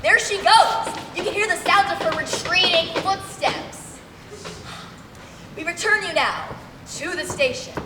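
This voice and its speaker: A young woman playing an earnest radio correspondent, announcing dramatically and deliberately in a comic mock news report. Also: extremely high pitch, lively intonation. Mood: earnest, improvising. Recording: echoey hall, distant mic, faint noise.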